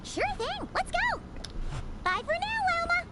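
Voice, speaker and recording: A woman voicing a tiny floating fairy companion, a chirpy girl with a squeaky, sing-song voice. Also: sing-song, measured pace, sharp tone, a little hiss or hum, full character voice.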